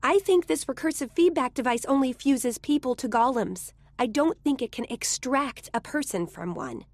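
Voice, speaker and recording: Casting: small, confident gnome genius in a game, higher voice, blunt and sardonic, technical delivery. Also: female voice, expressive, moderate pace, no room sound. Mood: earnest, blunt. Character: sharp-witted female gnome-like inventor, confident and sardonic. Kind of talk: video game cutscene acting.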